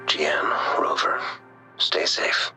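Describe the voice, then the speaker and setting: A man playing a polite, measured, analytical researcher in a video game. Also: in a whisper, over loud music, rough recording. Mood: measured, concerned.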